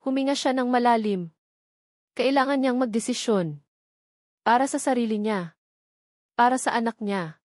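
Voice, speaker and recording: A woman's voice: a little high, moderate pace, long pauses, dry recording, studio quality. A woman narrator reading a scripted dramatic love story.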